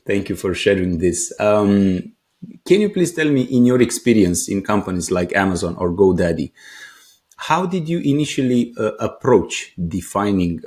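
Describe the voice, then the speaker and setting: A man with a very low voice hosts a business interview podcast. He introduces his guest and asks his questions hesitantly, with frequent pauses and fillers. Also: darkish tone. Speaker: podcast host.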